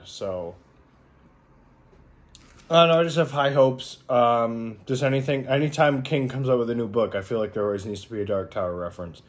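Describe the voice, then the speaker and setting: A man with a fairly low voice talks casually to his viewers as a book vlogger. He rambles along in an informal, slangy way, like someone giving an everyday update. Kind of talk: casual chat to camera.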